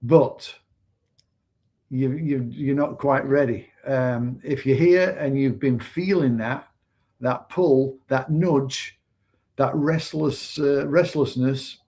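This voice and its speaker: A man from England co-hosting a casual business livestream, speaking hesitantly with many pauses and fillers. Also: medium pitch, fairly even intonation. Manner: hesitant, many fillers and restarts, softer than co-host. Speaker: online business mentor and livestream co-host.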